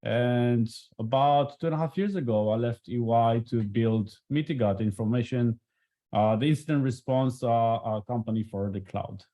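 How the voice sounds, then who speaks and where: A man's voice: medium pitch, moderate pace, muffled recording. A man with an Israeli accent introducing himself on an online panel, chatty with light humor. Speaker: panelist, startup founder. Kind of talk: self-introduction on a video call panel.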